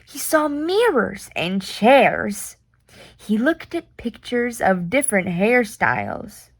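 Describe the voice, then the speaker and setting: A woman reading a children's picture book aloud in an expressive, deliberate way with playful reactions. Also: mid-range voice, no echo.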